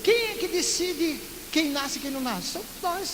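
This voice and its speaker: Pastor from Recife in northeastern Brazil, high-pitched man, lively sermon with rhetorical questions, long church echo. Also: moderate pace. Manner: lively preaching full of rhetorical questions and playful asides. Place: church sanctuary. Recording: echoey church hall with loud hiss.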